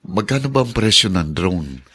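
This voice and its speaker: A male actor playing a kind, humble, devout father and husband in a radio drama, earnest and expressive. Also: low voice, normal speed, breathy edge, exaggerated voice. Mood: fond, hesitant.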